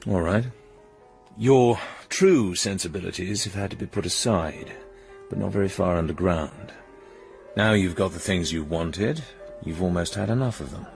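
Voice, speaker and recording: A suave British secret agent from a radio drama, self-assured and dryly teasing. The voice is low with a gravelly fry, urbane, with music faintly behind it. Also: male voice, normal speed, darkish tone. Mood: frank, flirtatious.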